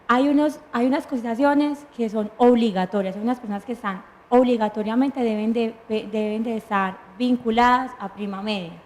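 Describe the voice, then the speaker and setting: A woman's voice: on the high side, fairly even intonation, a little fast, few pauses, a little mellow. A woman with a Colombian accent, a lawyer explaining pensions patiently and point by point on a studio talk show. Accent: Colombian Spanish. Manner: patient, methodical, lists points and answers her own questions.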